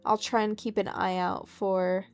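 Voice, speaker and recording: A woman's voice: medium pitch, little pitch movement, a little slow, clean tone. A woman hosting a gaming video, reading game text aloud and commenting in an informal, chatty way.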